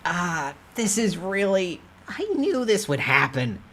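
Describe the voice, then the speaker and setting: A woman voicing an excitable, anxious survivor, exclaiming emotionally in a dramatic story reading. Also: lowish voice, takes it slowly, a little hiss or hum, full character voice. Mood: exasperated.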